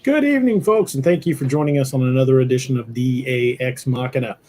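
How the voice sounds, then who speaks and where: A middle-aged man hosting a live podcast, rambling and laughing, his voice a bit hoarse from shouting. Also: mid-range voice, fast talker. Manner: rambling, self-interrupting, laughs often. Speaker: podcast host. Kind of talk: casual livestream chat. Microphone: headphones with mic on a video call.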